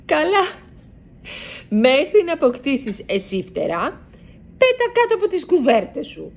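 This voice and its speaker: A woman voicing a mother mouse, warm but firm at bedtime. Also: high-pitched, huge pitch swings, pitched above the performer's own voice, heavily acted.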